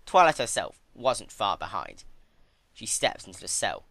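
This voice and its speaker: Animated man reading a story, bright voice. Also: fairly low pitch, moderate pace, no echo. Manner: animated, expressive delivery. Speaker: fan fiction reader. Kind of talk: dramatic story narration.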